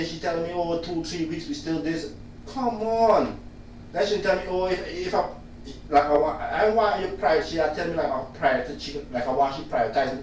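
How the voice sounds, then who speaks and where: A man with a fairly high voice talks loudly and insistently, going back to the same complaints again and again. He is a little away from the mic in a very echoey room with faint noise behind him. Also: distant mic. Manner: loud, insistent and repetitive. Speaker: client complaining about his solicitor. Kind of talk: casual venting monologue.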